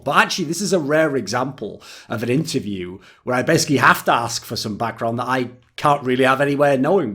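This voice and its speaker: A man's voice: mid-range voice, fairly bright tone, slightly rough. Talkative man hosting an interview. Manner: talkative, long winding questions with many likes. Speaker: host. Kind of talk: casual interview conversation.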